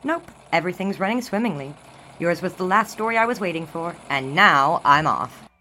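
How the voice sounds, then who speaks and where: Actress as a tart 1920s newspaperwoman, low-pitched. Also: expressive, normal speed, bright, forceful tone, soft background music. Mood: cool. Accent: American. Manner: dry, sarcastic. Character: brisk, tart, unimpressed 1920s newspaper office woman. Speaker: actress in an audio drama.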